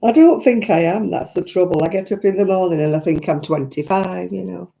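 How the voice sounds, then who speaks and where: An older woman with a croaky voice, chatting and rambling to viewers while she knits on a live stream. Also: on the low side, a little fast, dark tone. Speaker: crafting livestream host. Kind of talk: casual chat to live viewers. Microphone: own simple mic, live stream. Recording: crackly audio.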